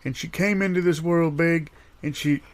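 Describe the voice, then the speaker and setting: A man's voice: on the high side, fairly even intonation, normal speed, natural voice. A man playing a forgetful old grandpa, rambling through folksy reminiscences in improvised audio comedy. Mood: rambling, wistful. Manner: meandering, folksy storytelling.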